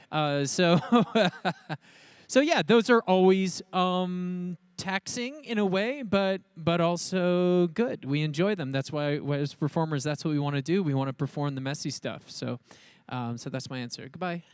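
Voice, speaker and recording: Smooth-voiced man, thoughtful and hesitant. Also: fairly high pitch, no echo. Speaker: voice actor on a convention panel. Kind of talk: casual panel answer. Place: live convention panel.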